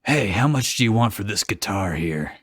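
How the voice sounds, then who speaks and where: A man's voice: lowish voice, expressive, moderate pace, a bit bright, slightly rough, dry recording, clean studio sound. A man voicing a brooding, awkward, forlorn male hero from fan fiction in an earnest, mopey manner. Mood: awkward, subdued.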